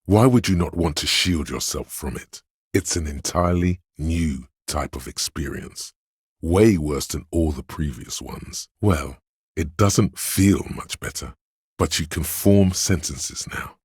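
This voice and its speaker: A man voicing a grim, wry inner voice of pain in a video game, narrating to the listener in a husky, deliberate, ominous way. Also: extremely low pitch, no echo, studio quality, exaggerated voice. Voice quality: gravelly, husky. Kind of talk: scripted second-person game narration.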